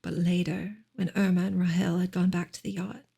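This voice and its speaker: Woman, ASMR streamer, very breathy and whispery, soft-spoken, gentle, fairly even intonation, smooth of creak. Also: fairly low pitch, brisk. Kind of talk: soft-spoken ASMR book reading and chat.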